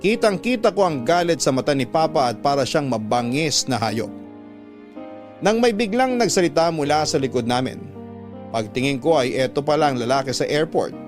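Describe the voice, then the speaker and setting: Man narrating a story over clear music. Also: medium pitch. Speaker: radio host reading a listener's story. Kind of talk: dramatic scripted first-person story narration. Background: instrumental music.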